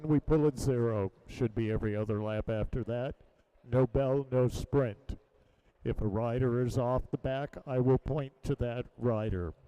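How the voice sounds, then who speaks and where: A man's voice: medium pitch, dark tone, clear, resonant tone, rough recording. A man briefing riders on the rules of an elimination race. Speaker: race official. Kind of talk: giving race instructions.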